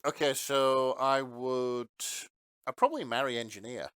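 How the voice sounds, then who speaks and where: A man's voice: medium pitch, steady tone, measured pace, a bit bright, clear, resonant tone, no room sound, clean studio sound. A man chatting casually as a podcast co-host. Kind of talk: casual chat.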